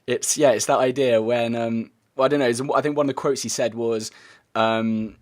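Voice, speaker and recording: A man hosting a casual interview, speaking excitedly in a hesitant, rambling way with stumbled sentences. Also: medium pitch, normal speed. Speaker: podcast host interviewer.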